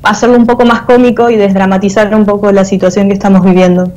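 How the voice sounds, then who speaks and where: A woman's voice: mid-range voice, flat delivery, quick pace, darkish tone, lo-fi sound. A woman in her thirties with an Argentine accent, giving conversational interview answers as a guest over a video call. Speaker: guest, theatre artist and teacher. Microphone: earphone mic on a video call.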